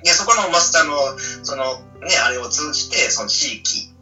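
A man's voice: a little high, animated, normal speed, pushed and bright, faint music behind. A man co-hosting a casual chat, earnestly explaining his points and seeking agreement. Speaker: talk show co-host. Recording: muffled, roomy, distant from mic.

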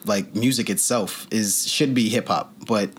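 Laid-back young rapper, low voice. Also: male voice, a little slow, slight background noise. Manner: loose, laughing, rambling with restarts. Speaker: guest rapper. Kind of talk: casual interview chat.

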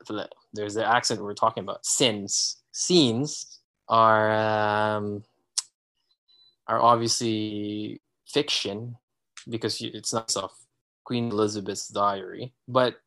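A man's voice: lowish voice, takes it slowly. Guy in his 30s from the philippines, chill nostalgic chatter, um and like a lot. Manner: relaxed and reminiscing, with fillers. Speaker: podcast guest. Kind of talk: casual chat.

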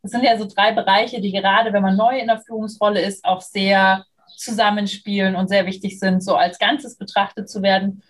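A woman's voice: medium pitch, flat delivery, normal speed. A woman in her thirties from southern Germany, talking casually as an interview guest. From Munich, southern Germany. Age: 30s. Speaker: interview guest, freelance trainer and moderator.

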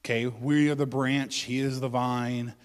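A male pastor giving a conversational sermon, with joking asides and loud bursts of emphasis. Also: medium pitch, little pitch movement, measured pace, in a large hall.

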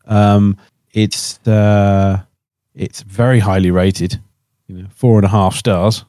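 A man's voice: lowish voice, little pitch movement, takes it slowly, mellow, no room sound, clean studio sound. A man chatting casually as a podcast panellist, hesitant and repeating his phrases as he recommends things. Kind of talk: casual chat.

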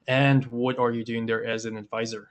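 A man hosts an interview in a flat, even voice. He is losing his voice and coughs now and then. His friendly questions come in quick bursts. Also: medium pitch. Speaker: interview host. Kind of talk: casual interview.

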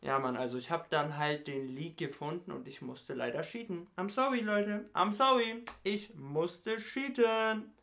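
A man's voice: a little high, a little slow, gravelly, few pauses, sharp tone. A young man, a YouTuber, chatting casually in a vlog, tired and sleepless, with a stutter and heavy youth slang. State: tired, has not slept. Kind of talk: casual vlog chat with rap parody bits.

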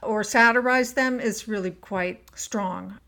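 A woman's voice: mid-range voice, measured pace, sharp tone. A woman hosting a podcast interview in a conversational, affirming and supportive way. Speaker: podcast host.

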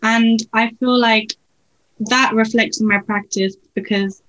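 A young woman in her twenties, an illustrator being interviewed, who answers thoughtfully and lists her ideas with small pauses. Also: a little high, fairly even intonation, a bit bright, poor audio. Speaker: illustrator, podcast guest.

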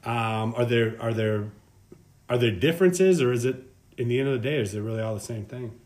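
A man's voice: medium pitch, fairly quick, a little mellow. Effusive man hosting a casual interview. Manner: effusive, slangy, keeps saying "man"; long winding questions. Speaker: podcast host.

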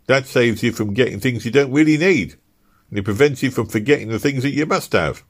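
A man lifts his voice a few notches to play an earnest young working-class husband in a storybook reading. He is awkward and stammers over his words, pitched above the reader's own low voice. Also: lively intonation, fairly quick. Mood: businesslike. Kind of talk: audiobook character reading.

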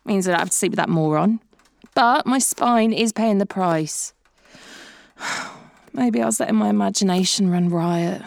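A woman's voice: medium pitch, measured pace, creaky, long pauses, dry recording. A woman playing an anxious, suspicious amateur sleuth with a fretful, incredulous manner in a comedy audio drama. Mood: doubtful, rueful.

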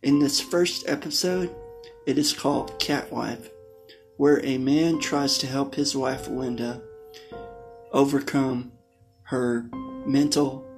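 A male host reads scripted narration in short, halting phrases. His voice is medium in pitch and very slow. Quiet plucked guitar plays underneath. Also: low-quality recording. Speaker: show host. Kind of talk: scripted narration introducing old radio plays. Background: plucked guitar music.

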